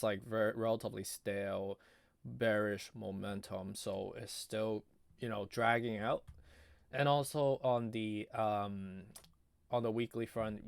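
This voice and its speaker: Male video host, lowish pitch, halting off-the-cuff analysis, voice tired and giving out, dry recording. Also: vocal fry, no room sound. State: losing his voice, often clearing throat. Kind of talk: casual improvised technical chart commentary.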